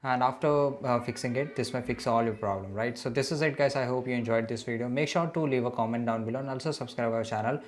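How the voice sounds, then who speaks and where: A man hosting a casual step-by-step tech tutorial, improvising in halting, non-native phrasing and often checking in with short tag questions. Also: medium pitch. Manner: repeats tag questions like "okay" and "right". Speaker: tutorial host.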